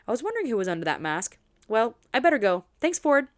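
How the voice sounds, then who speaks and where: A woman's voice: a little high, big pitch swings, fast. A woman voicing a friendly, independent young heroine in a romance audiobook, polite and chatty. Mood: cheerful, relieved.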